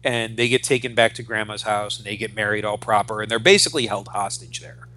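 A man's voice: lowish voice, a little fast, pushed and bright, rough recording. A man in his forties co-hosting a podcast, chatting casually and emphatically about pop culture, often repeating phrases as he goes. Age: 40s. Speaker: podcast co-host.

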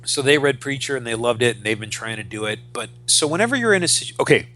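A man in his forties talks as a podcast host. His voice is bright and sharp, sitting at a middling pitch. He speaks casually, airing strong opinions and swearing as he rants. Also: big pitch swings, fast talker. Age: 40s.

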